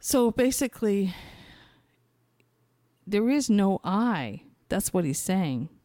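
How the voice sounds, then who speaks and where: A woman's voice: mid-range voice, very animated, slow, mellow, no echo, studio quality. A woman podcast host talking in a casual, rambling spiritual monologue, conversational and thinking aloud as she searches for her words.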